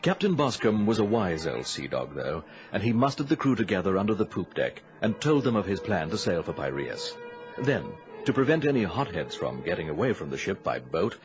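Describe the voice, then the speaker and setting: A man's voice: low voice, big pitch swings, a little fast, over music. A man narrating a grim seafaring tale as a scripted, dramatic old-time radio storyteller. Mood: ominous. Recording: muffled vintage radio recording.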